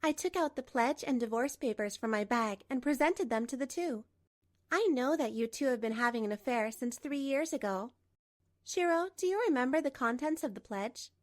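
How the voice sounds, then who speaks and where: A woman playing a composed betrayed wife in a manga dub, narrating and speaking with measured, pointed delivery. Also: high-pitched, expressive, no echo, clean studio sound. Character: betrayed wife, composed, coolly resolute, narrating her story.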